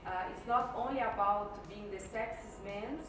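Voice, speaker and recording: A woman interprets in halting, non-native English at an average pitch. She sounds distant from the microphone in a strongly echoing room with clear background noise. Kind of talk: consecutive interpreting. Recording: very echoey, off-mic, noisy room.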